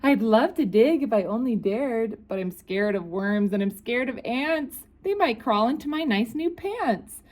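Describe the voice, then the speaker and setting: A woman voicing a timid, fussy male cook who is always scared, in a squeaky, trembling voice. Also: big pitch swings, takes it slowly, exaggerated voice.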